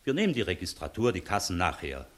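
An actor playing a courteous, authoritative visiting court inspector in a 1960s German radio play, speaking in a formal, measured manner. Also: male voice, sits low, wildly swooping pitch. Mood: commanding.

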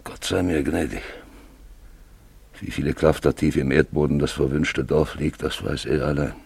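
Very deep, gravelly old huntsman. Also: steady tone, moderate pace, a little mellow, dry recording, full character voice. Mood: ominous, hushed. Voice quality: gravelly, husky. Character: gruff old huntsman, wary and superstitious, bluntly practical. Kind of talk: German radio play acting.

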